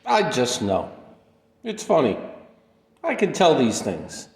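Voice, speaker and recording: An actor playing a polite, soft-spoken older gentleman with a gentle, formal manner in a radio play. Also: medium pitch, huge pitch swings, slow, full character voice. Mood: gentle, insistent. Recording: echoey room with long reverb.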